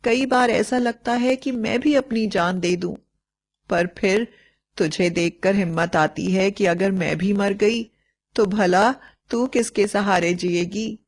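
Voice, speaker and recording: A woman's voice: mid-range voice, slow. A woman storyteller playing a middle-aged widowed mother who confides in an intimate, plaintive way. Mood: sorrowful, tender.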